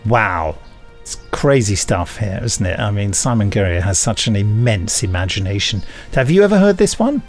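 A man's voice: on the low side, expressive, normal speed. Playful male podcast host over music. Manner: jokey, playful emphasis. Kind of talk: casual banter and blurb reading.

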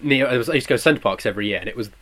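A man's voice: mid-range voice, rapid-fire, a bit bright. A British man in his twenties being interviewed as a producer, chatting casually in a modest, rambling way and correcting himself. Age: 20s.

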